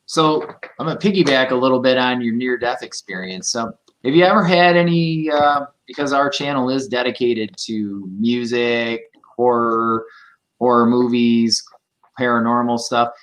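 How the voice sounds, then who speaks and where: A man's voice: mid-range voice, steady tone, moderate pace, a bit bright, poor audio. Brief: male interview host, friendly and conversational, video-call setup. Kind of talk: casual interview.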